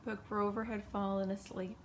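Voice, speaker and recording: A woman reading a bedtime story aloud to children in an expressive storytelling style. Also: mid-range voice, moderate pace, slight background noise.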